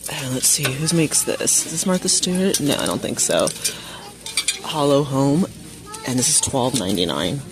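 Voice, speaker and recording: A woman vlogging as she shops in a big home goods store, chatting casually to viewers with frequent gasps and excited exclamations. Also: rumbling low, moderate pace, noisy background. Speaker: shopping vlogger. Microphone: phone camera held while shopping.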